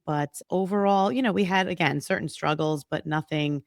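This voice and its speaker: Gravelly-voiced woman, chatty guest. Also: low-pitched, moderate pace, no echo, clean studio sound. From New York. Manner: warm, chatty, laughs easily. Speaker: guest, massage and reiki therapist. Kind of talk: casual interview.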